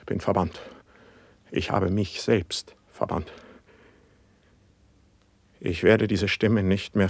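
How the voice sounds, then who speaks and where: An actor playing an anguished, distrustful poet on the edge of madness, declaiming classical verse in a radio play. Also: male voice, low-pitched, breathy edge, no echo, exaggerated voice. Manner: declamatory, lamenting, impassioned.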